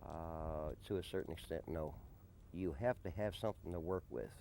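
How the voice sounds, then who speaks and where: A man being interviewed in a conversational documentary, speaking deliberately and often repeating a question back before answering. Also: rumbling low, gravelly, mellow. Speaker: interviewee, desert camp resident and odd-job handyman. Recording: noisy early-90s amateur documentary tape.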